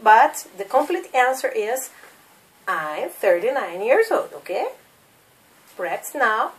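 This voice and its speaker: A woman's voice: a little high, big pitch swings, slow pace, recorded from a distance, poor audio. A woman in her thirties from Brazil with a Brazilian Portuguese accent, teaching English as a second language and drilling simple questions for learners to repeat. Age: 30s. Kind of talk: slow classroom drilling of questions.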